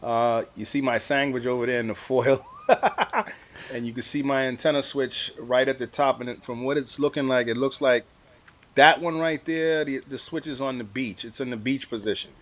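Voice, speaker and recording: A man's voice: medium pitch, fairly even intonation, normal speed. Mature man, laid-back colloquial talker. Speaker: amateur radio operator, award-winning guest. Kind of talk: casual storytelling in an interview. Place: live video stream.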